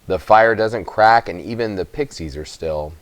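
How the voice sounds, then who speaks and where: A man giving an expressive, dramatic children's audiobook narration, with emphatic pauses. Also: fairly low pitch, no room sound. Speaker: story reader.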